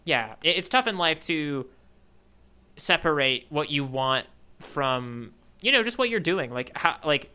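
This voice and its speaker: An American man co-hosting a podcast in casual chat, reflective and halting as he circles back to rephrase his thoughts. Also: fairly high pitch, animated, bright, forceful tone, clean tone. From United States. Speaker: podcast co-host.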